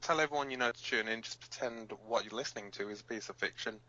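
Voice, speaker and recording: A man playing a gruff, battle-scarred resistance fighter co-hosting an underground radio broadcast in an audio drama, blunt, profane and halting. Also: mid-range voice, bright, forceful tone, lightly acted. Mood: menacing. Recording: dull lo-fi sound.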